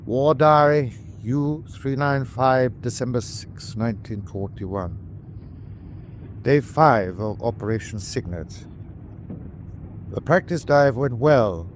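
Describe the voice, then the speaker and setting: An actor playing a weary, dutiful German submarine captain in a wartime radio drama, speaking in a formal, measured way. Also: male voice, mid-range voice, a little hiss or hum, natural voice. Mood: sober, reporting.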